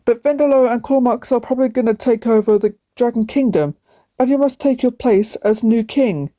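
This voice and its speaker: Woman as tiny loyal dragon sidekick, excitable, high pitched, kids storybook. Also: normal speed, dry recording, close to an everyday voice, poor audio. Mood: urging.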